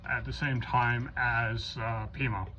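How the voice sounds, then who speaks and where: A man's voice: mid-range voice, level tone, measured pace. Man running a radio net, dull audio. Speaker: amateur radio net controller. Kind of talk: procedural radio net hosting. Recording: dull lo-fi audio, faint noise.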